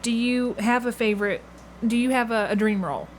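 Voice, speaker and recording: A woman's voice: on the high side, steady tone, brisk, slight background noise. A woman hosting a casual podcast interview, inquisitive and rephrasing her questions as she goes. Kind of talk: casual chat.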